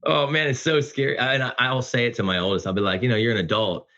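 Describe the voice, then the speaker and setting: A man's voice: mid-range voice, fairly even intonation, quick pace, bright, forceful tone. A middle-aged man talking casually and earnestly as an effusive podcast guest. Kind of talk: casual heartfelt conversation. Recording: dull lo-fi audio.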